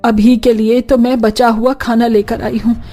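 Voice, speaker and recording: A woman's voice: a little high, little pitch movement, measured pace, warm tone, close to an everyday voice. An actress playing a worried, long-suffering woman of a poor village family in a plaintive, melodramatic cartoon style. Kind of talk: Hindi cartoon dubbing.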